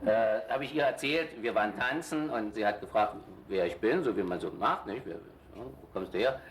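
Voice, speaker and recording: A man's voice: on the low side, moderate pace, slight background noise. An older man, born in Shanghai, telling his own story chattily, with hesitations and self-corrections. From born in Shanghai, later lived in Germany. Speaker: interviewee. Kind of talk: casual reminiscing.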